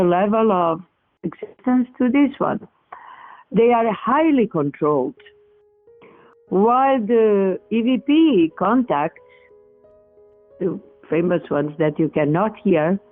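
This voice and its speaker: Older Portuguese woman on a tinny line. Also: mid-range voice. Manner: thoughtful, searching for words, frequent restarts. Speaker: guest, researcher of spirit voice recordings. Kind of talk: interview over a remote call.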